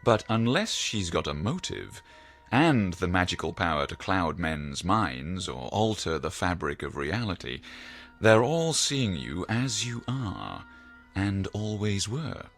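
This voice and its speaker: Deep, gravelly, probing psychiatrist. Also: male voice, sing-song, fairly bright tone, soft background music, full character voice. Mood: probing, reasoning. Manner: deliberate, formal, professorial. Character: measured, probing, learned male psychiatrist. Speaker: actor in a radio drama. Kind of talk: audio drama acting.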